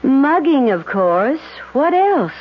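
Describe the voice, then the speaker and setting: An actress playing a lively, caring, teasing secretary to a lawyer in a vintage radio drama. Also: female voice, high voice, slow pace, exaggerated voice. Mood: concerned. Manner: teasing, expressive. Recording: dull, noisy old radio recording.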